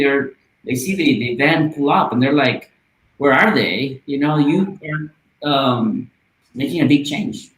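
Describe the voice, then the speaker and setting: A man from Guatemala speaking as a podcast guest, earnest and passionate, with frequent "uh"s. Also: on the high side. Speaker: guest from a volunteer charity. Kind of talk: casual podcast interview. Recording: roomy, echoey sound.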